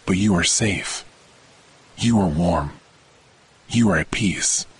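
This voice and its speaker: Male meditation host, low register, soothing and measured scripted read, faint thunder ambience behind. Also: noisy background. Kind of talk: scripted guided sleep meditation. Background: thunder rumbles.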